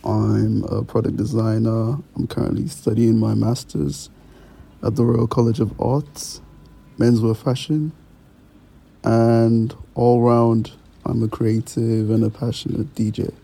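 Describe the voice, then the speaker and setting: Man, 20s, podcast guest, gravelly and mellow, friendly, fairly flat. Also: mid-range voice, measured pace. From Ireland. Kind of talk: casual self-introduction.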